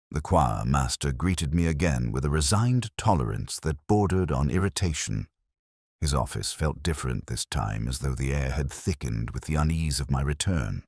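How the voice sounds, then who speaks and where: Brooding detective narrator played by a man: very deep, expressive intonation, measured and reflective, close dry sound, audio mystery drama. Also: breathy edge, no room sound, full character voice. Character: brooding, observant male police detective narrating his case. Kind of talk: scripted first-person mystery narration.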